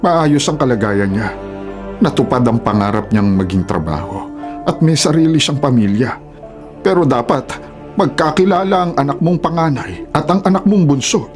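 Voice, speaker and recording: A man playing a grave, worried adoptive father in a radio drama, speaking with halting foreboding. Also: medium pitch, expressive, a little mellow, faint music behind, natural voice.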